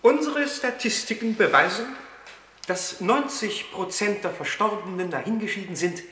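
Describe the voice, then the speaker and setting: High, sharp-voiced officious minister. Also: male voice, moderate pace, echoey room, in a large hall, a little hiss or hum. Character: officious insurance minister reciting statistics. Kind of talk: satirical German radio play acting.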